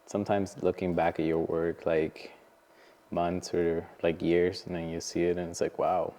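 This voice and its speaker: A man's voice: low voice, little pitch movement, normal speed, warm tone, big-room sound. A young man hosting a podcast, speaking frankly and swearing freely in casual chat. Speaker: podcast host.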